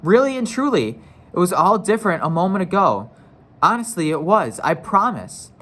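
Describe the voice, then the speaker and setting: Guy doing a excited little girl in a storybook, pitched up, earnest and pleading. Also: normal speed, heavily acted. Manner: emphatic, pleading. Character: earnest, excited young girl Lucy, insisting she's truthful.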